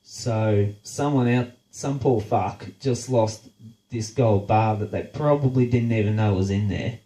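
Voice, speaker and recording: Unhurried Australian host with a head cold, nasal and blunt, swearing his way through a sarcastic rant. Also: fairly low pitch, dark tone, reverberant, rough recording. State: congested, nasal from a blocked nose. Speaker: show host. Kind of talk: casual profane rant.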